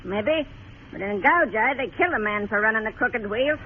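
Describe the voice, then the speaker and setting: A woman's voice: fairly high pitch, expressive, moderate pace, close to an everyday voice. An actress playing a brusque, weary frontier woman of about fifty, plain-spoken and folksy, in a radio western. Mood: defensive. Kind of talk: 1950s radio western acting. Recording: tinny noisy old radio recording.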